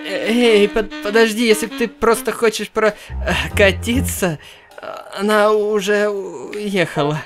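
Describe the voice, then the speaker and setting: A man's voice: high voice, fairly even intonation, a little slow, music in the background, pitched above the performer's own voice. A man voicing a timid, flustered young man from a visual novel with a meek stammer.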